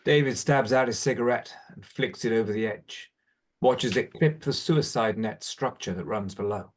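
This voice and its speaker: A man's voice: medium pitch, moderate pace. A man reading stage directions as scripted narration for a drama.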